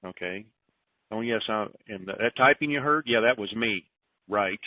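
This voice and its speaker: A man's voice: fairly low pitch, normal speed. A man hosting an informal group call in a folksy, disorganized way, rambling through announcements and thinking aloud. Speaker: conference call host. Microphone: telephone. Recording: roomy, tinny phone conference line.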